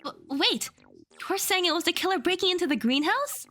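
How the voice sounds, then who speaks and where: Audio drama role: actress as earnest young female defender, high, lively, insistent. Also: a little fast, faint music behind, heavily acted. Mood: hesitant, uneasy. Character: earnest, determined young woman defending the accused. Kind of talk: anime-style audio drama.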